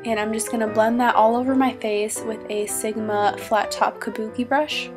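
A woman's voice: on the high side, steady tone, moderate pace, music in the background. A young woman with a stuffy cold, chatting upbeat through a makeup tutorial in her small bedroom and naming each product as she goes. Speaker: beauty vlogger.

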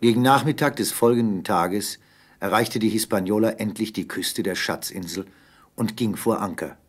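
Husky low-voiced guy narrating an audio drama like a storybook. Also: moderate pace. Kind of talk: scripted storytelling narration for a radio play.

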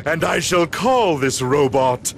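A vain cartoon mad scientist villain from a video game. His voice is high and swoops widely with theatrical pomp. He takes his words slowly, savouring his evil boasts. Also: lively intonation, creaky, a little hiss or hum, exaggerated voice. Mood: boastful. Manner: pompous, theatrical.

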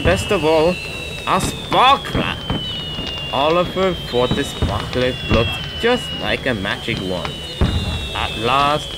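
Male narrator, children's story, expressive, swooping intonation, slow deliberate pace. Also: mid-range voice, heavy background noise.

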